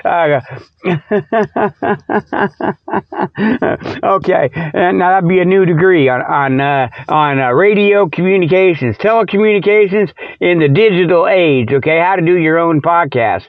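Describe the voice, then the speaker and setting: A man's voice: on the high side, normal speed, hardly any pauses, no echo. A man hosting a podcast, rambling through a comedic monologue in folksy, colloquial speech with a mock-salesman streak. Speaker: podcast host. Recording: dull, lo-fi radio-style audio.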